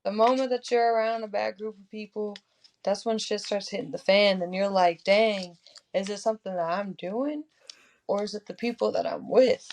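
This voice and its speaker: A woman's voice: medium pitch, steady tone, moderate pace, a little mellow. A woman in her twenties, a podcast guest, talking in a reflective, upbeat, casual way with many fillers. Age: 20s. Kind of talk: casual interview chat.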